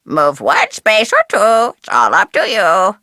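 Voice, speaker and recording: A woman's voice: mid-range voice, huge pitch swings, slow pace, pushed and bright, no echo, studio quality, full character voice. A woman voicing a bossy, cryptic pet parrot in a video game, squawking and speaking in repeated sing-song rhymes. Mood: instructive, playful. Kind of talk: exaggerated video game character acting.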